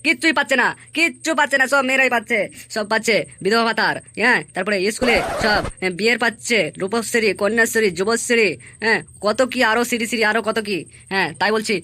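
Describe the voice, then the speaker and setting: A woman's voice: on the high side, quick pace, pushed and bright, slight background noise. A woman voicing a boastful, scheming male election candidate in a comic cartoon, speechifying in a blustering, repetitive way. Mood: boastful, promising.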